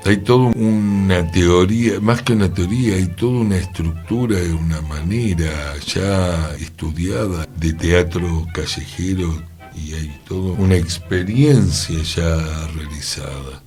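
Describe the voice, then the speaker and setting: Man, low voice, Rioplatense accent, emphatic rhetorical talker, opinionated discussion, music in the background. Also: measured pace, few pauses, darkish tone. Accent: Rioplatense Argentine.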